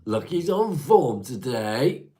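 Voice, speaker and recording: A man's voice: mid-range voice, big pitch swings, slow pace, warm tone. A man with a stuffy, congested voice, chatting casually on a livestream and answering viewers' comments, calling them mate. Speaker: livestream host reading chat.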